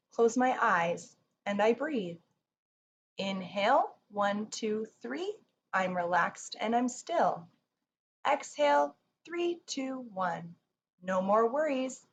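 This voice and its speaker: A woman reading a rhyming children's book aloud in a measured, soothing storybook delivery. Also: fairly high pitch. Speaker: picture book reader. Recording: muffled, faint noise.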